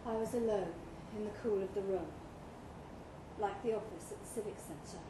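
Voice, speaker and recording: A woman's voice: medium pitch, normal speed. A woman reading a literary short story expressively at a live event in a pub room. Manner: acts out dialogue in character. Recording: echoey room with clear background noise.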